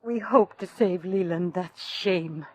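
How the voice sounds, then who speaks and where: An actress playing a proud, middle-aged genteel widow in a 1950s radio drama, speaking formally and theatrically. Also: female voice, lowish voice, takes it slowly, a little mellow, no room sound. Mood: anguished. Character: proud, genteel widow guarding family honor.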